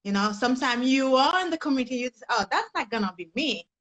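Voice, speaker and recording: Haitian-accented woman, higher voice. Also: animated, quick pace, fairly bright tone, low-quality recording. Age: young adult. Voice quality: non-native English grammar, earnest. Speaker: guest, personal development coach. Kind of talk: conversational interview.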